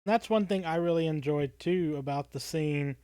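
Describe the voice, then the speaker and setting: A man's voice: on the high side, moderate pace, darkish tone, clear, resonant tone, dry recording. A man co-hosting a podcast, talking casually in an earnest and thoughtful manner. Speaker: podcast co-host.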